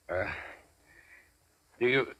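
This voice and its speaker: A man's voice: mid-range voice, vocal fry, a bit bright, breathy edge, dull audio, heavily acted. A man acting a stubborn preacher in a 1940s radio drama, ceremonial and insistent. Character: stubborn preacher performing a wedding, defiantly official.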